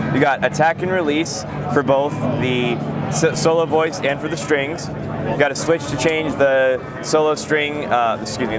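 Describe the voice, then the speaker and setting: A man gives an informal product demo at a trade show booth, with loud music around him. His pitch is average for a man, and he talks in a hesitant, self-correcting way as he walks through features. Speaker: product demonstrator.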